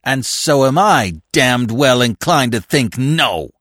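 A man's voice: mid-range voice, lively intonation, slow, a bit bright, dry recording, clean studio sound, pitched above the performer's own voice. A man voicing an irascible, sarcastic, affronted club guest in a comic audiobook, snapping and ranting indignantly. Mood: furious.

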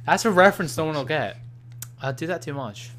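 A man's voice: on the high side, expressive, fairly quick, fairly bright tone, a little hiss or hum. A man with a Scottish accent co-hosting a podcast, joking around and swearing often in casual chat. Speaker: podcast co-host.

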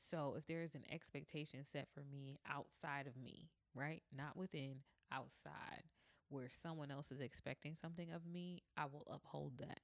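A woman with a deep voice, hosting a podcast and telling a personal story in a candid, conversational way, acting out quoted dialogue. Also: fairly even intonation, normal speed, no echo. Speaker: podcast host.

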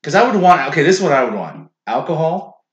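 A man chatting loosely on a podcast, rambling from one idea to the next and joking. His pitch swings widely as he talks. The room is roomy and echoey. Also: sing-song, fast, muffled recording. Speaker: podcast co-host. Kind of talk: casual podcast chat.

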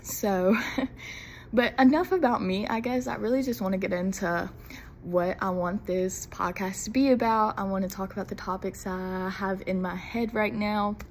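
A woman's voice: mid-range voice, steady tone, normal speed, a little hiss or hum. A young woman from Mississippi, hosting her first podcast episode in a casual, nervous, giggly, rambling way. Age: young adult. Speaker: podcast host. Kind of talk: casual solo podcast chat.

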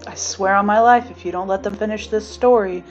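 A woman's voice: medium pitch, fairly even intonation, a little fast, muffled recording, soft background music, pitched above the performer's own voice, close to an everyday voice. A woman voicing a laid-back, easily amused young man who teases and coaxes his boyfriend. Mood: coaxing.

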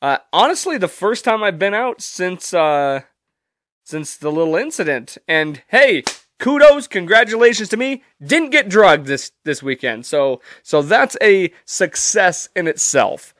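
A man's voice: high voice, sing-song, measured pace, a bit bright, no room sound, clean studio sound. A young man hosting a solo podcast, stuffy and congested from allergies, talking casually and rambling with loose, profane humor. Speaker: podcast host.

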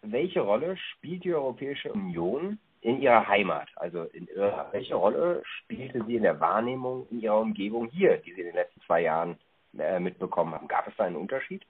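A man hosting a podcast, formally interviewing a guest and reading out prepared questions in a polite, measured manner. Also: on the low side, lively intonation, phone-quality sound.

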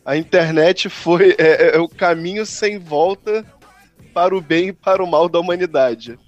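Man, Brazilian Portuguese accent, high voice, reflective podcast chat, faint music. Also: normal speed, highs cut off. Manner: reflective, explains with examples. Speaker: podcast co-host. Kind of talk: casual podcast discussion.

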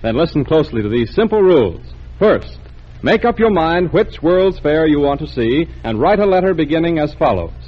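A vintage radio announcer with a fairly high voice, brisk and polished. He comes through dull and lo-fi, like an old broadcast. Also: big pitch swings, breathy edge.

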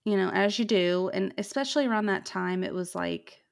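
A woman hosting a podcast, telling a true ghost story in a chatty way, with many "like"s and "um"s. She has a fairly deep voice. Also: brisk, gravelly. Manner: chatty, lots of "like" and "um". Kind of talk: casual storytelling chat.

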